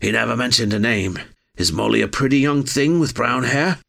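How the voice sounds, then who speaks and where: A male narrator voicing a rough-spoken, terse man with dry, folksy speech. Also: mid-range voice, normal speed, fairly bright tone, soft background music. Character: gruff rough-spoken man, laconic scout.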